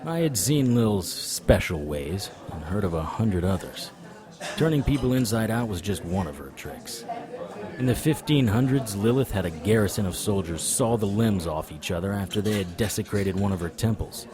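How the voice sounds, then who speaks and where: A weary monster hunter, voiced by a man tells his story in dry, wry noir style. His voice sits very low and stays grounded. Crowd noise murmurs behind him. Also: animated, moderate pace, natural voice. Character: hard-bitten male monster hunter narrating. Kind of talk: noir first-person narration and dialogue.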